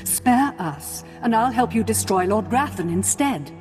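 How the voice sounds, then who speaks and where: A woman's voice: on the high side, expressive, a little slow. A woman playing a proud, scheming middle-aged noblewoman in a video game, imperious, formal and dramatic. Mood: urgent pleading. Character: proud, scheming Sith noblewoman and protective mother. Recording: long hall reverb, faint music.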